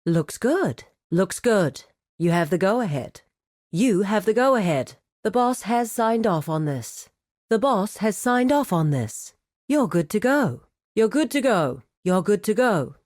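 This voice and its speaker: Composed cartel administrator, expressively pitched. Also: female voice, sing-song, darkish tone, dry recording, clean studio sound. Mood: approving, brisk. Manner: formal, measured, authoritative. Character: composed, businesslike female administrator of a crime cartel. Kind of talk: scripted video game character dialogue.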